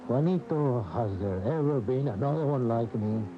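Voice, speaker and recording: An actor playing an aging, admiring companion of a matador, reflective and theatrical in a radio drama. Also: male voice, medium pitch, lively intonation, normal speed, natural voice. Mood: reverent, reflective. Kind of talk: radio drama monologue and dialogue. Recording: muffled AM radio broadcast, music, noise.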